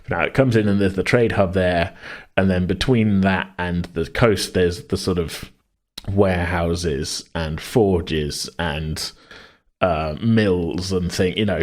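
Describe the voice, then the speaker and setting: Low-voiced man rambling through ideas. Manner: rambling, thinking aloud. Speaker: podcast co-host. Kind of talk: casual worldbuilding chat.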